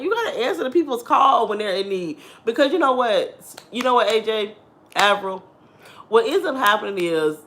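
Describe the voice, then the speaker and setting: A woman in her thirties with a deep, husky voice, talking candidly to her viewers in a casual chat and laughing often. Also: fairly bright tone. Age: 30s. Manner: chatty and candid, laughs often, addresses viewers as "girl" and "y'all". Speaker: vlogger.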